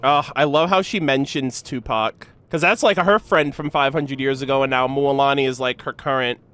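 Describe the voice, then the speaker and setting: A young man giving casual, excited reaction commentary in slangy, gushing, animated fan talk. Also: medium pitch, normal speed, clear, resonant tone. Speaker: reaction video creator.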